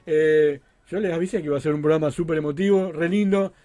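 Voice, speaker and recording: A man's voice: on the high side, steady tone, quick pace, mellow, no room sound. A man with a Rosario Argentine accent hosting a chatty live radio show in an effusive, joking way, often repeating himself. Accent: Rosario Argentine Spanish. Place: radio studio.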